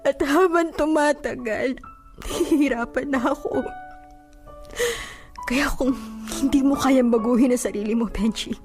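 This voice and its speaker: A woman's voice: sits high, unhurried, long pauses, darkish tone, soft background music. An actress playing a hurt, weary young woman pleading with her boyfriend in a radio drama. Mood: weary, resigned.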